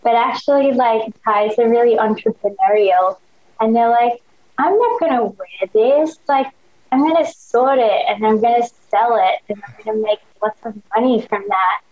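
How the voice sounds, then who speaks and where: A woman's voice: on the high side, moderate pace. Chatty Australian woman, slangy and eager. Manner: enthusiastic, slangy, many "like" fillers. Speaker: podcast guest. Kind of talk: casual chat. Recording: dull lo-fi sound.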